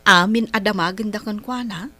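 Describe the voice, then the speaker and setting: An actress playing a dutiful, apologetic young daughter in a radio drama, plaintive and respectful. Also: female voice, medium pitch, normal speed, sharp tone. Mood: worried. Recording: radio drama with music underneath.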